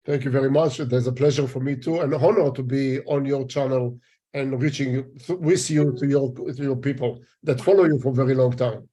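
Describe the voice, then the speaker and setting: An older man with an Israeli accent, answering interview questions in halting English, often searching for words. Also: mid-range voice, steady tone, dark tone, breathy edge. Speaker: guest. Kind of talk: interview conversation.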